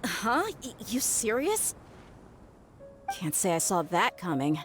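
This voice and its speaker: An actress playing an easygoing, warm-hearted young female mercenary in a video game, casual and teasing. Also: mid-range voice, very animated, takes it slowly. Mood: surprised, wistful. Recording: background music.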